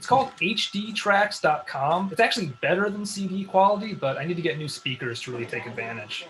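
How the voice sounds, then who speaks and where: A man's voice: high voice, expressive, fairly quick, fairly bright tone. A man playing a casual, nerdy guy making bar small talk in a comedy table read. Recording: noisy microphone with room ambience.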